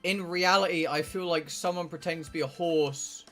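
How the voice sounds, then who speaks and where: A man's voice: on the high side, fairly even intonation, normal speed, a bit bright, faint music behind. A man from England with a southern English accent, chatting casually and bluntly on a livestream, rambling and swearing freely. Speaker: game streamer.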